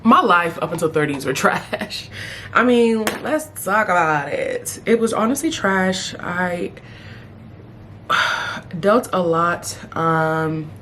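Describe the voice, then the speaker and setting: A woman in her thirties chatting casually as a beauty vlogger while doing her makeup, playful, with sudden high exclamations. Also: lively intonation, slow pace, a bit bright, a little hiss or hum. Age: 30s. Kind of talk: casual get-ready-with-me chat.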